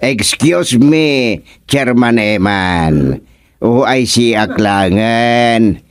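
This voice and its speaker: Fussy ilocano village official in radio comedy, repeats himself, really animated pitch, slow delivery. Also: male voice, clear, resonant tone, dry recording, clean studio sound. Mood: formal, jovial. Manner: hesitant, stammering, repeating words.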